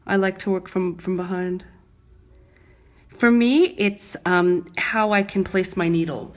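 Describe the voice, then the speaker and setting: An American woman instructor explaining her technique during a live demonstration, speaking casually with clear, deliberate enunciation. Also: on the low side, steady tone. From Brooklyn, New York, United States. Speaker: microblading instructor and artist.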